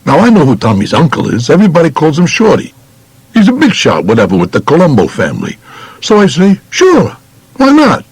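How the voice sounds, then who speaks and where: A man's voice: on the high side, huge pitch swings, a little fast, breathy edge, dry recording, voice pushed up, exaggerated voice. An actor voicing a blunt, unrepentant mobster with a New York accent, recounting his life in slangy audiobook memoir. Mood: casual. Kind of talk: first-person audiobook memoir, colloquial.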